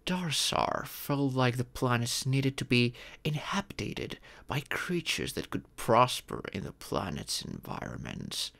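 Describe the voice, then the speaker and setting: A man's voice: mid-range voice, creaky, fairly bright tone, exaggerated voice. A man voicing an ancient male dragon, a former king and doting but stern father, speaking formally and patiently in an ASMR roleplay. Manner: formal, patient, fond, instructive. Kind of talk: ASMR roleplay, scripted monologue.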